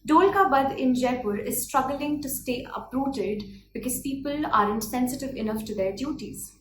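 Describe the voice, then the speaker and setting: A woman's voice: high-pitched, normal speed. A woman of twenty with an Indian accent, delivering a prepared, earnest speech full of rhetorical questions. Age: 20s. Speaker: speaker. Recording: echoey room, faint background noise.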